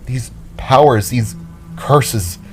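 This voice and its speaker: A man's voice: medium pitch, drawn-out words, a little mellow, faint music behind, voice pushed up. A man voicing a determined, caring young woman, a guitarist and fighter, in an earnest, rambling, pleading way for an audio drama. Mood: earnest, pained.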